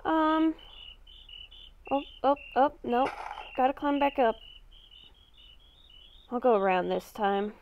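Woman, high voice, unhurried, improvised game roleplay, talking to herself, dull recording. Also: animated. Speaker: gaming video creator playing a roleplay character.

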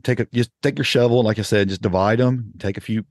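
A man's voice: lowish voice, fairly even intonation, fast talker, a little mellow, no room sound, clean studio sound. A man co-hosting a homesteading podcast, chatting casually and rambling with lots of restarts. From Indiana. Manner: rambling, repeats himself, many restarts. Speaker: podcast co-host. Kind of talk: casual gardening chat.

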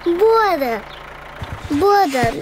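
A woman's voice: very high voice, very animated, very slow, a little hiss or hum, full character voice. A woman voicing a small childlike creature pupil in a children's cartoon, patient and helpful, explaining things simply and earnestly. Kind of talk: animated children's cartoon voice acting.

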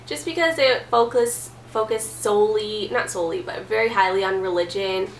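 A woman's voice: mid-range voice, moderate pace, far from the mic. A young woman in her twenties talks casually from her bedroom, her voice stuffy from a cold. She is recorded by a camera mic set some way off, so the sound is roomy with a faint hiss. Her talk is chatty and full of "like" and "um". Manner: chatty, lots of "like" and "um". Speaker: book vlogger. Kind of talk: casual chat. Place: bedroom, indoors. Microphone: camera mic at a distance.

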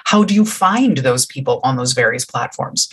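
A woman's voice: extremely low pitch, expressive, normal speed, husky. An older woman hosting a casual interview on a video call, putting questions to guests. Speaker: interview host.

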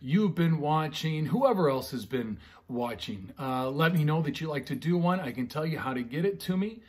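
A man's voice: a little high, lively intonation, normal speed, darkish tone. A middle-aged American man, a pastor chatting casually and reading children's bedtime stories expressively. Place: living room at home, indoors.

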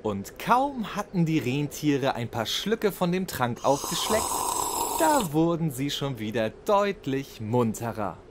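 A man's voice: a little high, very animated, takes it slowly, noisy background. A man narrating a children's bedtime story as a scripted narrator.

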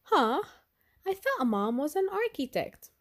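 A woman voicing a curious, excitable young girl from a picture book, eager and exclamatory. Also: high voice, measured pace, pitched above the performer's own voice. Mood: puzzled.

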